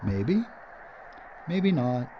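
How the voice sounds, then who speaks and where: An actor playing a stubborn, sardonic filmmaker facing doom in an audio drama. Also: male voice, medium pitch, very animated, very slow, full character voice. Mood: grim, wry. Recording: dull, noisy audio with ambience.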